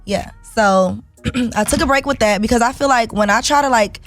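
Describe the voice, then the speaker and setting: A young woman in her twenties with a raspy voice, a rapper guest chatting casually in an interview, laid-back and listing things off. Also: a little high, moderate pace, dry recording, faint music behind. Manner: laid-back, speaks in runs of short lists.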